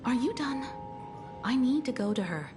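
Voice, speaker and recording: A woman playing a poised, devoted mature woman in anime game style, calm and reassuring, with a measured, gently teasing manner. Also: high voice, over music. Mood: cool, firm.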